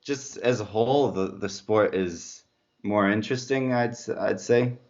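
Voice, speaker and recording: Deep-voiced Slovak man, halting and conversational. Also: darkish tone. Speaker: former professional road cyclist turned mountain bike racer, interviewee. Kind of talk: casual interview chat. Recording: dull lo-fi audio.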